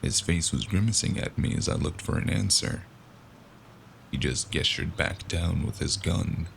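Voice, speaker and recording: A man playing a gravelly, sardonic noir investigator. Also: low voice, normal speed, a little hiss or hum. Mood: wry, tense narration. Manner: sardonic, wry. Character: sardonic world-weary cyberpunk investigator, a wounded man. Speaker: narrator and lead character. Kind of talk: first-person noir audio drama narration and dialogue.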